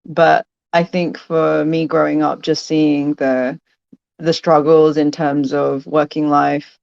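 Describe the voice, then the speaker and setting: A woman from London, a podcast guest who answers thoughtfully, pausing and restarting phrases. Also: low voice, little pitch movement, gravelly, a little mellow, dry recording, highs cut off. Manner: thoughtful, hesitant, restarts phrases and laughs often. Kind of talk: casual interview conversation.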